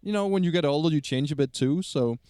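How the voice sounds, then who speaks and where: Guy doing street interviews, smooth clear voice, hyped and encouraging. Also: fairly high pitch, quick pace, mellow, dry recording, clean studio sound. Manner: enthusiastic, encouraging, laughs along.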